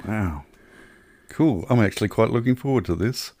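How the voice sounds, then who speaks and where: A man's voice: on the low side, lively intonation, normal speed, a little mellow, dry recording, studio quality. A man co-hosting a casual film podcast in a jokey way, often on the edge of laughter. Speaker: podcast co-host.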